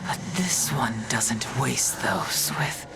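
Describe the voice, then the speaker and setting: Undead villainess for a fantasy game: cruel, taunting, very slow whispers with swooping low pitch, under loud music. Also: female voice, expressive, creaky, heavy background noise, exaggerated voice. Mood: sinister taunting. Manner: slow, whispery, drawn-out, mocking. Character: dead cruel blood mage woman, summoned back to speak, taunting. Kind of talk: fantasy video game acting.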